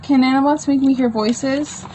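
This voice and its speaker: A woman's voice: sits high, fairly even intonation, moderate pace, mellow, slight background noise, rough recording. A woman reading her own homemade comic aloud in a plain, unpolished way, with informal explanatory asides. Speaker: comic book author reading own work.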